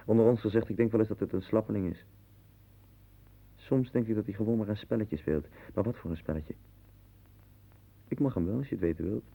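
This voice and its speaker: An actor playing a blunt, domineering, somewhat pompous friend who lectures, in a 1960s Dutch radio play. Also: male voice, fairly low pitch, brisk, creaky, long pauses, mellow, slightly rough. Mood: confiding, suspicious. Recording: tinny, band-limited 1960s radio recording.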